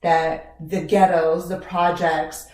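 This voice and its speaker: A woman's voice: lowish voice, level tone, slow pace, a little mellow, echoey room. A woman raised in Iran co-hosting a podcast, talking in a casual, emphatic way and often ending points with a question. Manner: questioning, emphatic, repeats words. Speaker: podcast co-host. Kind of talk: casual conversation.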